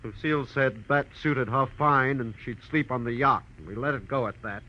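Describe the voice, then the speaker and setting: An actor playing a defensive, rattled husband under suspicion in a 1940s radio crime drama, protesting on an old recording. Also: male voice, medium pitch, moderate pace, lightly acted. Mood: sheepish, explaining. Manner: indignant, protesting. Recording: dull, slightly noisy old radio recording.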